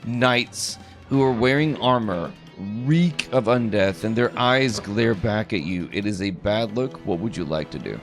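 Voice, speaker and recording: A man acting as game master for a tabletop game. His pitch moves around in a lively way as he narrates and checks rules, with faint guitar music playing behind him. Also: measured pace. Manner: hums and mutters while working out rules.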